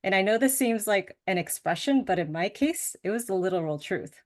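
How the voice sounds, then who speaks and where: A middle-aged woman, a Spanish speaker, giving a prepared talk in a measured storytelling way. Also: medium pitch, animated. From United States. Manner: measured storytelling, some fillers.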